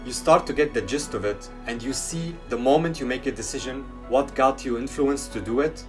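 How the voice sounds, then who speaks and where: A man in his twenties from Syria talks in a calm, earnest, measured way at a mid pitch, like a scripted reflection. Clear guitar music plays steadily under his voice-over. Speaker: video essayist.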